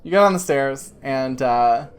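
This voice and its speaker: A woman's voice: rumbling low, animated, measured pace, smooth. A woman narrating as game master of a tabletop game, describing scenes in a rambling way full of restarts and fillers.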